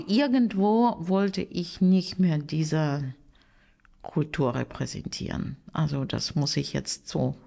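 A middle-aged woman with a Russian accent speaks in a fairly low, gravelly voice. She is a teacher giving a reflective interview, often searching for her words. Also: a little mellow. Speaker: language teacher being interviewed.

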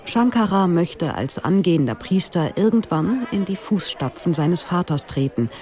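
A woman reading scripted documentary narration in a lively, expressive way. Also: on the low side, moderate pace.

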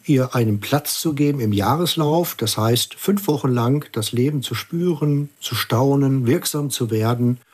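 A man giving a radio interview as a church environmental activist, explaining in a measured, earnest way. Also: medium pitch, darkish tone, breathy edge.

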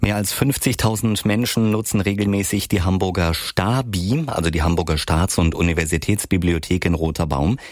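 A man with a deep voice reads the news in a measured, formal way. The sound is dry and close, with no room around it. His delivery is steady and scripted. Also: no room sound. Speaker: news presenter. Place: radio studio.

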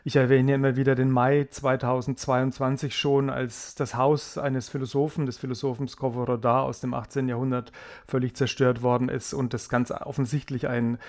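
A man's voice: medium pitch, flat delivery, normal speed, darkish tone. Male correspondent, mid-range voice, thoughtful explainer with hesitations, live radio interview. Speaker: foreign correspondent.

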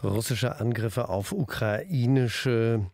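A man presenting a radio culture program, asking a correspondent measured questions in a studio. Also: medium pitch, a little mellow, no echo. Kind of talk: radio interview moderation. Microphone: studio microphone.

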